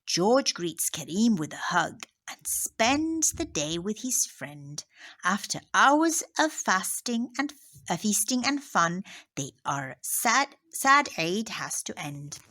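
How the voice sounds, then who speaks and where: Slow, animated woman reading to children. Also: medium pitch. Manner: slow, expressive, drops into whispers on headings and asides. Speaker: teacher reading a picture book. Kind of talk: children's story read-aloud.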